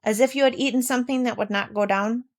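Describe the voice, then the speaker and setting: A woman reading aloud voices a kindly older male doctor in a storybook, speaking in a gentle, soothing way. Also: on the high side, fairly even intonation, fast, voice pushed up, natural voice.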